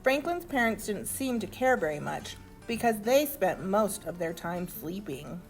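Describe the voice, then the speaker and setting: A woman reads a children's storybook in an expressive, deliberate storytelling manner. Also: mid-range voice, faint music behind, low-quality recording. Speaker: storybook reader. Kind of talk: animated children's story narration.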